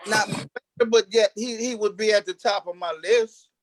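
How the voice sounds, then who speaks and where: A man chatting casually as an interview guest, halting and repeating himself as he builds up to his point. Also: high voice, fairly even intonation, dry recording, low-quality recording. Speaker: stage actor, interview guest. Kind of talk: casual chat.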